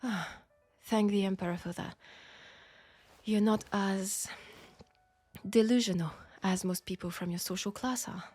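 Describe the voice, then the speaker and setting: A woman playing a candid, proud French seamstress in a steampunk audio drama, speaking with a French accent in a formal, deliberate way. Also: medium pitch, steady tone, darkish tone, slightly rough, no echo, soft background music. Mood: wry, musing. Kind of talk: intimate scripted audio roleplay.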